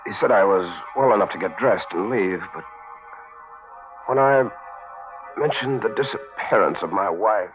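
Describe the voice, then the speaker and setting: An actor playing a distraught, suspicious American husband in an intense, dramatic 1940s radio mystery style. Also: male voice, on the low side, animated, moderate pace, pauses often, music in the background, natural voice. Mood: haunted narration. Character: distraught, suspicious husband searching for his vanished wife. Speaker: actor narrating as the lead. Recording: muffled old 1940s radio broadcast.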